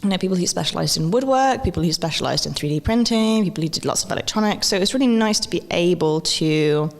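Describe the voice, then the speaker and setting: A woman from Wales, a guest in a relaxed interview, chatty, laughing often and filling her talk with ums and restarts. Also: on the low side, fast talker, vocal fry, long reverb tail. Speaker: guest, maker and educator. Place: event venue at a festival.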